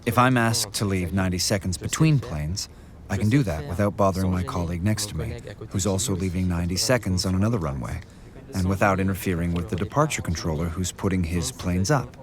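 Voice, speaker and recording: Low-voiced man in documentary voice-over. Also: a little fast, crowd noise. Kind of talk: English voice-over of an interview. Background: music.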